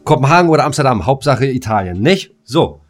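A man's voice: mid-range voice, expressive, a little fast, soft background music. A man chatting casually as a podcast co-host, in a flippant, slangy way. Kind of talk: casual chat.

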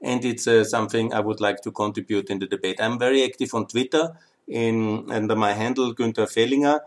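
Low-voiced Austrian man, halting English. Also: steady tone. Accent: Austrian German. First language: German. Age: 50s. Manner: earnest, many uhs and ums, non-native phrasing. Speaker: podcast host. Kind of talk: solo podcast introduction.

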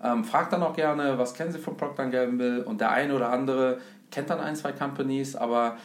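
A man's voice: medium pitch, a little fast. A man from Germany explaining investing in a casual conversation, with many fillers and examples. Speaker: investment speaker, guest. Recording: roomy echo.

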